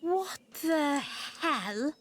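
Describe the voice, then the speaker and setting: A woman's voice: high-pitched, lively intonation, extremely slow, a little hiss or hum, full character voice. An actress playing a brash, sarcastic female fighter with furry ears in a video game. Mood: startled. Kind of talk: animated video game voice acting. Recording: background game music.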